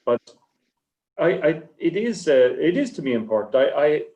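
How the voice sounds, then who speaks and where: Halting man in an echoey room. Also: a little high, very animated, mellow. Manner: halting, stammering, repeats words and restarts. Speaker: short story writer on a festival panel. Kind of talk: rambling panel discussion answer. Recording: echoey, roomy sound.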